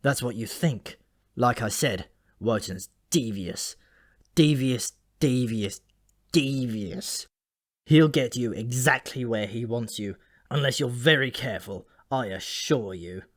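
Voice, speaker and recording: A man's voice: medium pitch, slow pace, no room sound. Ancient dwarf for a fantasy audiobook , acted by a man: dyspeptic, gloomy, rambling, grudgingly friendly, recorded dry. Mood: confiding, warning.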